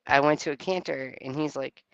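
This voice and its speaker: Gravelly-voiced woman, animated storyteller. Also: rumbling low, brisk, dry recording, muffled recording. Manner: animated, laughs through her stories. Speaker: panelist. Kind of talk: casual livestream panel chat.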